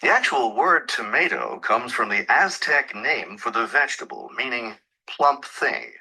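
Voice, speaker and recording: A man's voice: medium pitch, very animated, a little slow, creaky, sharp tone, breathy edge, full character voice. A man playing an informative lecturer, reading a scripted academic talk in an instructive, emphatic way for a listening test. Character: informative lecturer explaining food history.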